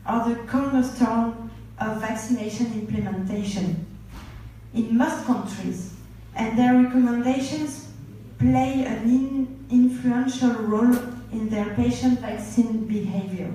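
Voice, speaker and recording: A woman moderating a conference panel, introducing speakers and asking measured, formal questions. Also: fairly high pitch, warm tone. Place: large conference hall. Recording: echoey hall, distant mic, faint noise.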